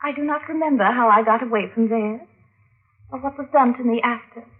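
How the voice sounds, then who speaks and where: A woman's voice: on the high side, a little fast, natural voice. An actress playing a melodramatic, lovelorn woman giving testimony in an old-time radio drama, with theatrical, coy delivery. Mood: haunted. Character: melodramatic, lovelorn woman defendant on the witness stand. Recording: muffled, narrow-band old radio recording.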